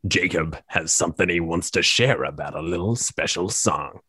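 A man hosting a student radio show, giving brisk station announcements. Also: low voice, bright, forceful tone, husky, no room sound, studio quality. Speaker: radio host.

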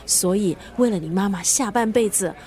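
A woman's voice: mid-range voice, moderate pace, dark tone, close to an everyday voice. A woman acting in a radio drama as a teasing, bossy family friend who plays matchmaker. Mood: scheming, brisk.

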